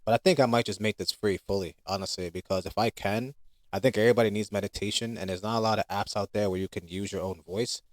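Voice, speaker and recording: Male, low-pitched, informal app creator talking through a product demo, close dry sound. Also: dry recording. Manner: informal, conversational. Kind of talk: casual unscripted screen demo talk.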